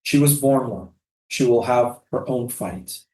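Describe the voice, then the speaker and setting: A man's voice: mid-range voice, fairly even intonation, unhurried, dark tone, reverberant, natural voice, rough recording. A man in a staged play reading, acting a doomed, solemn prisoner who is a loving, poetic father. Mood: gentle, resolute.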